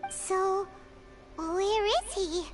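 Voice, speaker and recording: Squeaky, excitable little fairy. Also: female voice, drawn-out words, heavily acted. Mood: puzzled. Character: tiny, cheeky, excitable childlike fairy companion. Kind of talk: cartoonish video game voice acting. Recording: game audio under loud music.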